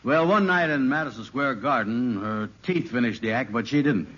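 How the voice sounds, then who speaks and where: An actor playing a slow-witted, gullible, easygoing man in an old radio sitcom, with a bumbling, hesitant, folksy delivery. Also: medium pitch, close to an everyday voice. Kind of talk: 1940s radio sitcom acting. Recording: muffled, hissy old radio recording.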